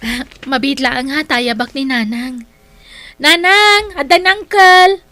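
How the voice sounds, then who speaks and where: A woman's voice: high-pitched, takes it slowly, sharp tone, exaggerated voice. A woman acting the part of a dutiful young student daughter in love in a radio drama. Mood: polite, welcoming.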